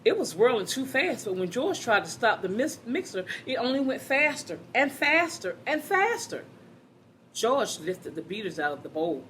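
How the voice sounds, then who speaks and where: A woman's voice: on the high side, big pitch swings, normal speed, fairly bright tone, a little hiss or hum. A woman reading a children's story aloud, expressively, addressing her young listeners directly.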